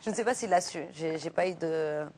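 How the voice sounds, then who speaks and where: A woman's voice: sits low, brisk, a little mellow. A woman in her twenties with a Portuguese accent, chatting as a guest on a talk show and hesitating with lots of fillers. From Luxembourg. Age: 20s. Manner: hesitant, many fillers and restarts. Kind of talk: casual interview chat.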